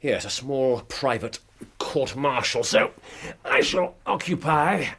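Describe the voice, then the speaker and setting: Husky, animated English gentleman detective. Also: mid-range voice, exaggerated voice. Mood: commanding. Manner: theatrical, brisk, wry. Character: keen, playful, commanding Victorian English gentleman detective. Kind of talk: radio drama acting.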